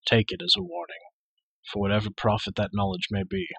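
A man voicing a calm, wise warrior lord who speaks in a measured, grave and gentle way. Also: on the low side, gravelly, slightly rough, no echo, heavily acted, low-quality recording. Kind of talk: audiobook character voice.

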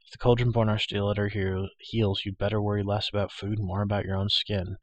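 A man's voice: lowish voice, level tone, a little fast, dry recording, muffled recording, close to an everyday voice. A man voicing an anxious, earnest young adventurer in an audiobook, urgent and practical. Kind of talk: audiobook character voice.

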